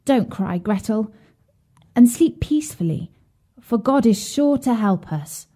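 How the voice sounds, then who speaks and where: Woman playing a brave young storybook boy, raised pitch. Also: expressive, measured pace, warm tone. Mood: reassuring. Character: brave, consoling young boy in a fairy tale. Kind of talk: children's storybook character voice.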